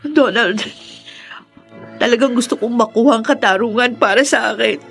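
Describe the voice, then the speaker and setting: A woman's voice: a little high, normal speed, vocal fry, a bit bright, faint music behind. A woman playing a wronged, emotional young woman in a Filipino radio drama. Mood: anguished, tearful. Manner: emotional, pleading, melodramatic. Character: wronged, anguished young woman, tearful but defiant.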